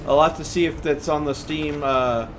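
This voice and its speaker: A man's voice: on the high side, steady tone, normal speed. A man giving casual gameplay commentary as a streamer, muttering reactions to himself as he plays. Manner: talking to himself, reacting to the game. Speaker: game streamer. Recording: gunfire and game noise over voice. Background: loud video game gunfire and vehicle sounds.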